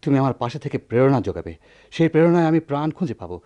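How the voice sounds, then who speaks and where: Man acting a fast, impassioned idealistic husband. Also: mid-range voice, very animated, mellow, lo-fi sound, lightly acted. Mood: impassioned pleading. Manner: declamatory, impassioned. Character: proud, wounded, idealistic young village doctor and husband. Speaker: radio drama actor. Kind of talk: melodramatic radio drama acting.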